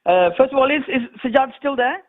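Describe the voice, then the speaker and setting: A man's voice: very high-pitched, lively intonation, a little fast. A young man calling in to a phone-in show, asking his question eagerly and with frequent fillers. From England. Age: young adult. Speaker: caller. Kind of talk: phone-in call. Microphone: telephone. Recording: tinny phone line.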